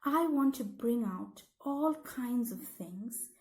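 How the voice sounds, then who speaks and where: A woman voicing an eager, thoughtful thirteen-year-old schoolgirl in an expressive, deliberate read-aloud of her diary. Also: on the high side. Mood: pensive, earnest.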